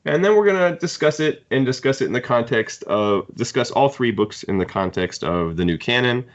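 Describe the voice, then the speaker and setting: A man hosting a podcast, casually discussing books in a halting, opinionated fan's way. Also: mid-range voice, expressive. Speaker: podcast host. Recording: dull lo-fi podcast audio.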